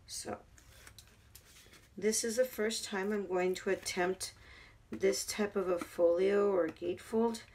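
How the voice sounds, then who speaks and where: A woman hosting a craft tutorial, talking casually while she works with her hands, her voice hoarse. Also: mid-range voice, a little hiss or hum. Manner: chatty, talks while working with her hands. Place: craft table at home.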